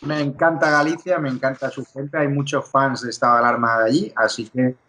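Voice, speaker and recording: A man from Spain hosting a political talk show, emphatic and quick to run through lists. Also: medium pitch, fairly even intonation, sharp tone. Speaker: show host.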